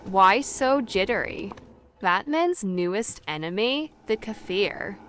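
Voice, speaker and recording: A woman's voice: mid-range voice, expressive, slow, fairly bright tone. A woman making casual banter as co-commentator on a video game stream. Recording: faint background noise.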